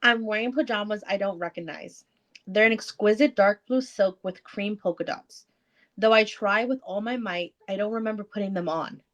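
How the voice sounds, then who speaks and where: A woman's voice: medium pitch, moderate pace, highs cut off. A woman co-hosting a book club podcast, reading aloud dramatically and casually, breaking off to comment and swear.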